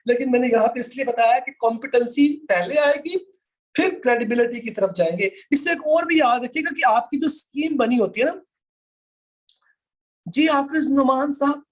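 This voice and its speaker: A man's voice: very high-pitched, moderate pace. A man with a Pakistani accent lecturing students online, explaining didactically, repeating points for emphasis and mixing in English terms. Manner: mixes English terms into speech. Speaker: law lecturer.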